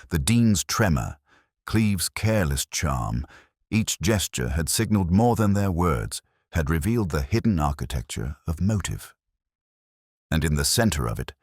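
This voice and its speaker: A man reading a scripted first-person detective story in a measured, solemn, literary way. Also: extremely low pitch, breathy edge, dry recording, studio quality. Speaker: narrator of an audio mystery story.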